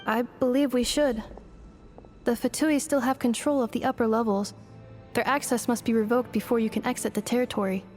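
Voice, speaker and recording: A woman voicing an earnest, analytical female robot in a video game, speaking in formal, measured phrases. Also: a little high, fairly even intonation, darkish tone, soft background music, natural voice.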